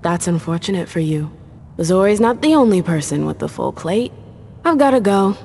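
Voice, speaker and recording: Low, creaky, wry vampire woman. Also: lively intonation, normal speed, a little mellow, slight background noise. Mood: dry, challenging. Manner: sardonic, self-assured. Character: cool, wry, world-weary female vampire club owner. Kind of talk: video game voice acting.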